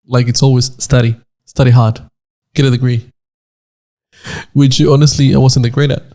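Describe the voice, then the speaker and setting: Man, twenties, from Nicaragua, gravelly, mellow tone, rambling podcast chat. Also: mid-range voice, level tone, normal speed. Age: 20s. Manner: rambling, repeats words while searching for them. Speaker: podcast co-host. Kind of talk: casual chat.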